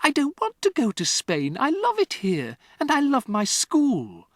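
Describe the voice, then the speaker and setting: A storybook narrator voicing a distraught young schoolgirl who pleads in a high, breathless voice. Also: wildly swooping pitch, unhurried, no echo, studio quality, exaggerated voice. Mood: pleading.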